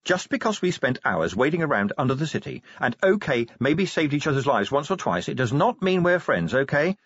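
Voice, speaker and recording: A man's voice: mid-range voice, sing-song, brisk, a bit bright, breathy edge, no echo, dull audio, pitched above the performer's own voice, full character voice. A man voicing a prickly, defensive female werewolf police officer in an audiobook story. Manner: snappish, defensive.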